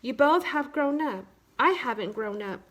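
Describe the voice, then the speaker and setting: A woman's voice: high-pitched, expressive, measured pace. A woman reading the part of a curious little boy in a storybook, earnest and childlike, eager to grow up. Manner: earnest, childlike questioning and declaring.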